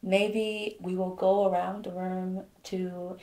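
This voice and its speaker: Woman, 30s, podcast co-host; low, mellow, measured; echoey room. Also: flat delivery. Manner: thoughtful, measured, switches to Mandarin. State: pregnant. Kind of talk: casual podcast conversation.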